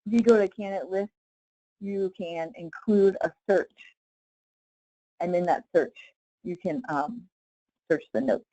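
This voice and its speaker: A woman leading a casual live software tutorial as a trainer, explaining step by step in an informal way with frequent check-in questions. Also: fairly low pitch, a little slow, pauses often, dry recording, lo-fi sound.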